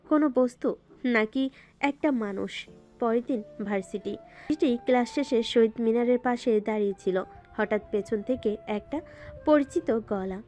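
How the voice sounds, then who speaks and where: High-voiced woman narrating romance, music behind. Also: moderate pace, mellow. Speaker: story narrator. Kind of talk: dramatic scripted romance narration.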